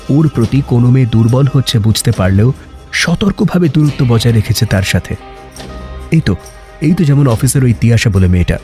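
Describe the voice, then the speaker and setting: A man narrating a scripted story dramatically, with emotive phrasing and pauses. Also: mid-range voice, a little mellow.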